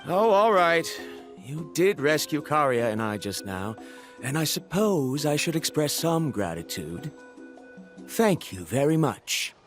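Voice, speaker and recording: A stiffly polite, single-minded researcher in an anime game. His voice sits high for a man and moves animatedly in pitch, with blunt, formal phrasing. Music plays behind him. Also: big pitch swings, a little slow. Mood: grudging. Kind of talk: anime video game dialogue acting.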